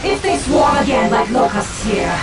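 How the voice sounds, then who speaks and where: Video game alien seer: proud, cryptic, measured and theatrical, echoey sound over music. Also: female voice, a little high, very animated, raised voice. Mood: mournful, solemn. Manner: stately, measured, theatrical delivery. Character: solemn, proud alien Eldar farseer, cryptic war leader. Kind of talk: dramatic video game acting. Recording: echoing, distant, music behind.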